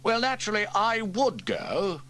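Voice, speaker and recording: A man voicing a pompous, blustering male fire chief in a storybook reading, pitched well above his own voice. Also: huge pitch swings, a little slow, pushed and bright, heavily acted. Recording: faint tape hiss from old cassette.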